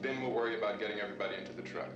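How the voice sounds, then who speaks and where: An actor playing a commanding, practical survivor in a 1960s horror film, giving firm instructions. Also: male voice, fairly low pitch, rapid-fire, loud music behind the voice, natural voice. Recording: dull echoey old film soundtrack.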